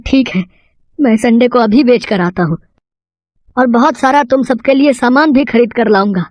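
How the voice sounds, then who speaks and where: A woman's voice: on the high side, normal speed, darkish tone, no echo, natural voice. A woman voicing a greedy, proud male village farmer in a cartoon moral story. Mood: delighted.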